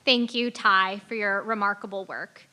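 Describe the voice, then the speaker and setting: A woman's voice: mid-range voice, fairly even intonation, normal speed, pushed and bright. A woman hosting an awards ceremony, presenting from semi-prepared remarks.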